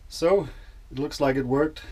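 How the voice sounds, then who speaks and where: A man's voice: fairly high pitch, a little slow, a little mellow. A man in his thirties or forties with a Danish accent, narrating a casual DIY vlog in a hesitant, understated way. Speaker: boat owner and vlogger. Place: small boat cabin. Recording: clear background noise on camera audio.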